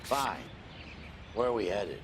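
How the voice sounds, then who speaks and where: An American actor playing a gruff, world-weary, sardonic middle-aged cop in a 1940s crime game, gravelly, blunt and slangy. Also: male voice, on the low side, lively intonation, slow pace, noisy background. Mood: flat, businesslike. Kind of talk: video game dialogue, crime drama.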